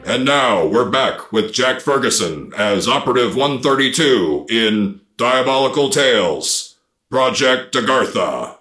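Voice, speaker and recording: An actor playing a formal radio show announcer in a 1950s old-time style. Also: male voice, mid-range voice, lively intonation, measured pace, over loud music, natural voice. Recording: roomy echo.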